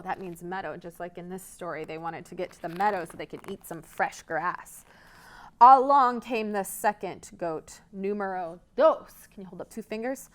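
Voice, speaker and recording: Storytime lady reading to kids, takes it slow, really animated, stops to explain words. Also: mid-range voice. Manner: expressive, asking and explaining. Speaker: children's library storyteller. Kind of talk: storytime read-aloud with explanations for children.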